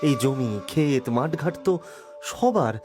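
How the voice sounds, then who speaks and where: A man playing a village landlord in an animated drama, urgent and halting, repeating his words. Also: medium pitch. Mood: earnest, calming. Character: agitated male village landlord, commanding yet reassuring. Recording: music behind the voice.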